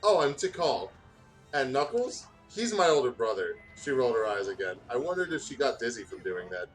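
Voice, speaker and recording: A man's voice: mid-range voice, big pitch swings, brisk, faint music behind, low-quality recording. A man reading fan fiction aloud in a casual, joking way, often stumbling over his words.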